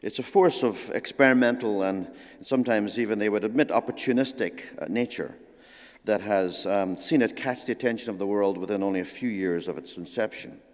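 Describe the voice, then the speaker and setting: A man giving a formal, measured introduction as a forum moderator, pausing often with uh. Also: fairly low pitch. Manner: measured, halting with frequent uh pauses. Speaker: event moderator introducing speakers. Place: lecture hall at a forum. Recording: long hall reverb.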